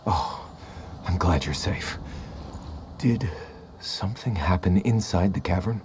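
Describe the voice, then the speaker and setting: A man playing a gentle, grieving young man in a video game story, speaking softly and reflectively over music. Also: low-pitched, animated, slow, slight background noise. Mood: concerned, subdued. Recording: music behind the voice.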